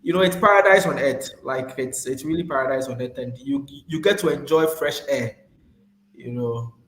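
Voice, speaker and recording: A man's voice: mid-range voice, normal speed, a bit bright, roomy sound, slight background noise, low-quality recording. A man with a foreign accent chatting eagerly about a trip, piling on fillers and restarts. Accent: foreign accent, not local to the island. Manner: gushing, many fillers and restarts. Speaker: guest describing a trip. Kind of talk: casual enthusiastic chat.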